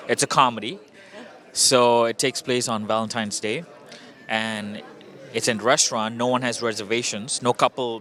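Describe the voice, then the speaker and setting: Low-voiced man, upbeat interview guest. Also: a bit bright. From Indonesia. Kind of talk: casual interview answers.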